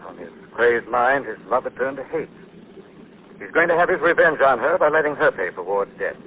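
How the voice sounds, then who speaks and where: An actor playing an assured, knowing gentleman detective who explains things calmly, in 1940s radio drama style. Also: medium pitch, brisk, close to an everyday voice. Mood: grave. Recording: tinny, hissy old 1940s radio recording.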